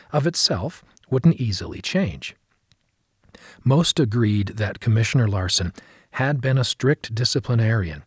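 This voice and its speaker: Man, audiobook narration, husky voice, earnest, measured reading, dry close recording. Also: medium pitch, no room sound. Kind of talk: scripted memoir narration.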